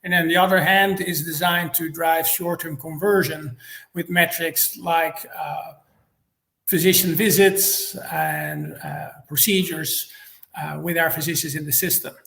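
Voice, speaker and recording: A middle-aged man from the Netherlands with a Dutch accent, a native Dutch speaker, explaining things in a measured, structured way as an interview guest, recorded in an echoey room. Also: on the high side, vocal fry, fairly bright tone. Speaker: interview guest, marketing executive.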